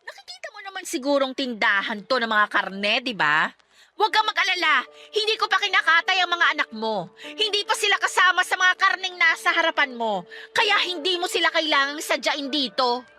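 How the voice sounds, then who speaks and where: A woman playing a defiant, sharp-tongued estranged wife and mother in a radio drama, sarcastic and combative. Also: very high voice, sing-song, a little fast, soft background music, full character voice. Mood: defensive, sarcastic. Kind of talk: Tagalog radio drama acting.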